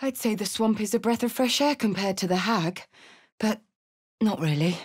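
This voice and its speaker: A woman's voice: medium pitch, animated, normal speed, slightly rough. A woman voicing a tough, brash tiefling warrior in a video game, with a blunt, wry, casually sweary manner. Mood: determined, wry. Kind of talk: video game character barks and remarks.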